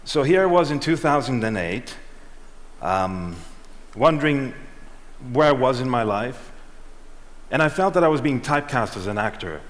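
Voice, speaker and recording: A middle-aged man giving a prepared talk on stage, telling a measured story and letting his lines trail off. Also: medium pitch, lively intonation. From Switzerland and Ghana. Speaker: speaker. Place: large auditorium. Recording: reverberant hall.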